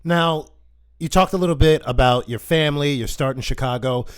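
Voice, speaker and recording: A man's voice: on the high side, moderate pace, clean tone. A man hosting a casual interview over a video call, asking friendly, chatty questions. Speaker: host.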